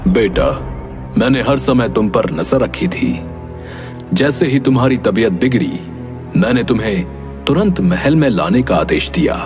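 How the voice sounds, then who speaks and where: An older king played by a man, caring and dutiful. He addresses his child gravely and instructively, in animated fable style, with music clearly behind. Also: medium pitch, unhurried. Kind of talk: Hindi animated moral story voice acting.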